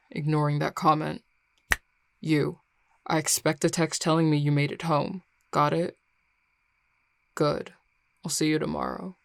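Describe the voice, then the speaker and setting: Woman voicing a low, sardonic, grumpy young man. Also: little pitch movement, measured pace, gravelly, long pauses, no echo. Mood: curt, exasperated. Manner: curt, sarcastic, exasperated sighing. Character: grumpy, sardonic young male tsundere coworker. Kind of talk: scripted audio roleplay acting.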